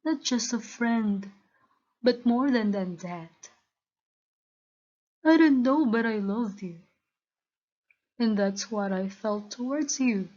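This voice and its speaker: A woman plays a lovesick young cartoon man. He speaks slowly, earnest and hesitant, in a high voice on dull lo-fi audio. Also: darkish tone. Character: lovesick, nervous young man confessing to best friend. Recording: dull, lo-fi voice recording.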